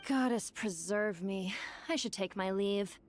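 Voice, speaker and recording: An actress voicing an excitable, expressive woman in a video game. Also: mid-range voice, unhurried, a little hiss or hum, natural voice. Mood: uneasy.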